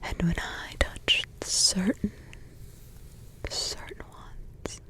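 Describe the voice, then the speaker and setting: A woman voicing an old forest witch, a grumbling yet doting mother and grandmother, in rambling, halting whispers full of fillers. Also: pushed and bright. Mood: hushed musing. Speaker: ASMR roleplay creator. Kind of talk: ASMR roleplay whispering.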